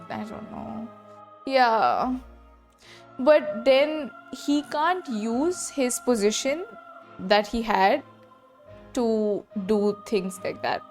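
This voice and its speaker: A woman streamer with a fairly high voice reacts casually to a game. She speaks a bit slowly and haltingly, thinking aloud, with soft music in the background. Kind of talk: casual live playthrough commentary.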